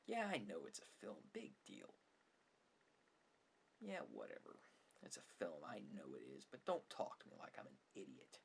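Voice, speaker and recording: A man's voice: a bass voice, huge pitch swings, measured pace, gravelly. A man hosting an ASMR-style film commentary, complaining emphatically and sarcastically and at times dropping toward a whisper. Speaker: video host, film commentator. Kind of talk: ASMR opinion monologue on films. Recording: faint background noise.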